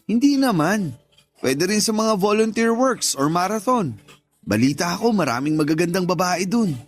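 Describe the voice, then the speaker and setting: A man's voice: high-pitched, sing-song, normal speed, faint music behind. An actor playing a teasing, pushy married best friend in his thirties, with a Filipino accent, coaxing and joking in a radio drama.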